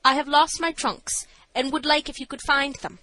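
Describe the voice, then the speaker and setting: Woman acting a high, haughty young woman. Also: big pitch swings, normal speed, pushed and bright, dry recording, heavily acted. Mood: stubborn, incredulous. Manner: haughty, insistent. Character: young woman feigning madness, stately and stubborn.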